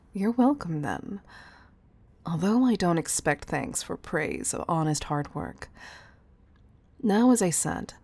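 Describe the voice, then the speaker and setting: A woman playing a composed, commanding CEO in an intimate roleplay audio, speaking in a measured, teasing, patronizing way with affectionate firmness. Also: low-pitched, darkish tone. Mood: patronizing, probing.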